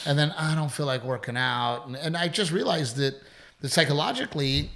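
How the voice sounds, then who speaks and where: A man's voice: fairly high pitch, a little fast, vocal fry, fairly bright tone. A middle-aged man, a comedian hosting a podcast, chatting casually in a rambling, profane, self-deprecating way. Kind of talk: casual chat.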